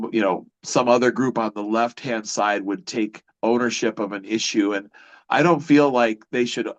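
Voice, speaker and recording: A man, a mid-pitched, ordinary voice, talks politics in a loose conversation. He circles his points with halting restarts and fillers before landing them. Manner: rambling, halting, many restarts. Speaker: interviewer.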